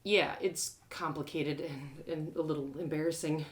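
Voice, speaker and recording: A woman's voice: sits low, fairly even intonation, normal speed, natural voice. A woman playing a spirited, awkward young girl in an audio drama, earnest and easily flustered.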